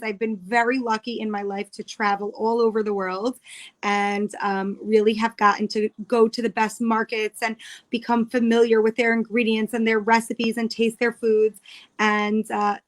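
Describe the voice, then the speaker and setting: A woman's voice: a little high, flat delivery, moderate pace. A woman in her thirties with an American accent, explaining things in detail in a casual, chatty interview style. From New York, United States. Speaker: guest, cookbook author.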